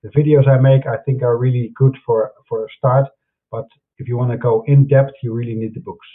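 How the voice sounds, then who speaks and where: A man's voice: mid-range voice, steady tone, brisk. A man with a Dutch accent presenting his websites and books in an online broadcast, speaking casually with many fillers and restarts. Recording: phone-like narrow sound.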